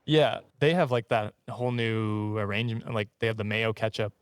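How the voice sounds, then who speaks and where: A man's voice: lowish voice, normal speed, clear, resonant tone, dry recording, clean studio sound. A man from Canada hosting a podcast, telling stories in a casual, jokey way with banter. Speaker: podcast host. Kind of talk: casual comedic storytelling with banter.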